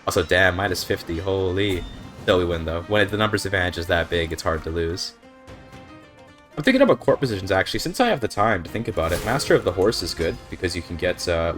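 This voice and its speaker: A young man with a slightly scratchy throat, casually explaining his strategy while playing a game, thinking aloud with fillers. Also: low voice. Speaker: speedrunner commentating gameplay. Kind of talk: casual gameplay commentary. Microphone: desk microphone.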